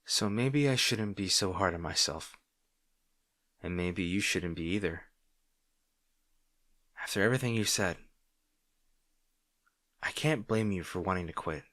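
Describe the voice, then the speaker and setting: A man's voice: deep voice, a little slow, long pauses, fairly bright tone, dry recording, studio quality. A man playing an arrogant but secretly caring supervillain rival, bantering with the listener in an audio roleplay. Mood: earnest, caring. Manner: teasing, bantering, grudgingly sincere.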